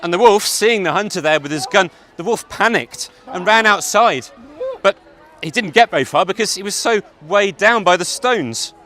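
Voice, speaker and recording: A man narrating a fairytale live to children, theatrical and deliberate, with dramatic pauses. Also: high-pitched, lively intonation, pushed and bright. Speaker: storyteller narrator. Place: outdoor public event.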